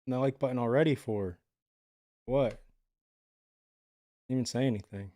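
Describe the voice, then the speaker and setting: A man's voice: mid-range voice, moderate pace, mellow, no room sound. A man casually chatting with his live viewers from home, laid-back and rambling with lots of filler words. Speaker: livestreamer.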